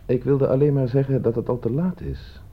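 A man's voice: medium pitch, steady tone, a little fast, slightly rough, close to an everyday voice. An actor playing a self-assured, precise man who can become rattled, in a radio play. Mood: cool, self-assured. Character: self-assured man named Loimu who proves his point, turning rattled. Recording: dull lo-fi radio play recording.

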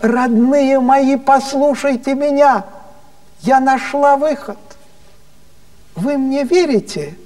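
A man's voice: extremely high pitch, slow pace, long pauses, a little mellow, distant mic, voice pushed up. A man voicing a sentimental, earnest and bossy woman minister in a storyteller's anecdote, pleading and emphatic. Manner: pleading, emphatic, endearing address. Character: sentimental, earnest female Soviet government minister, motherly and bossy.

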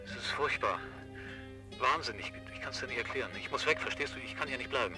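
A young upper-class man acted by a man in a radio play, with music behind him. He speaks in a medium pitch, curt and urgent, like someone agitated and in a hurry. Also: fast, lightly acted. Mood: distraught, secretive. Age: 20s. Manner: terse, urgent. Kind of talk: German radio drama acting.

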